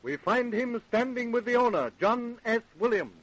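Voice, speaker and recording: A man's voice: very high-pitched, expressive, normal speed, muffled recording. A man playing the announcer-narrator of an old-time radio drama, grave and declamatory in his scripted delivery. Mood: ominous. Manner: portentous, declamatory.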